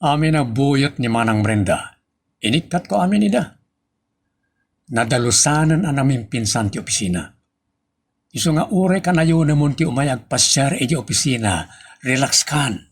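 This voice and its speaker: A man's voice: mid-range voice, animated, moderate pace, pauses often, breathy edge. A man acting a reassuring, devoted businessman in love in a radio drama. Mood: firm, comforting. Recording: music behind the voice. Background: music.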